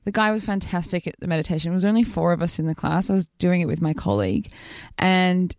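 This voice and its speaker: Croaky aussie woman on radio, cheeky, bouncy up-and-down voice, dry studio. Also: lowish voice, fast talker, no room sound. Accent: Australian. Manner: cheeky, lively storyteller, laughs easily. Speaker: radio show co-host. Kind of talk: casual studio banter. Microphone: studio microphone.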